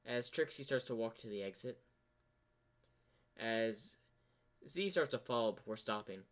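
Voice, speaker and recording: Guy telling his own fan fiction off the cuff, slow and halting, normal midrange voice. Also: mid-range voice, expressive. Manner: halting, pausing mid-sentence. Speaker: storyteller. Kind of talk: improvised fan-fiction narration.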